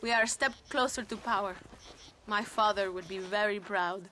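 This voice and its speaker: A woman's voice: medium pitch, moderate pace, close to an everyday voice. An actress playing a resolute, courteous young woman revolutionary in a Western video game, speaking with a Mexican accent. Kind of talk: video game cutscene acting. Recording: noisy outdoor scene.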